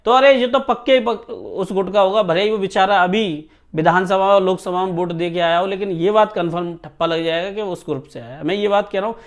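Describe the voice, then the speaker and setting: High-pitched man, emphatic teacher. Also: a little fast, few pauses. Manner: emphatic, repeatedly checks listeners understand. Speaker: exam coaching teacher. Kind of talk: informal exam news talk to students.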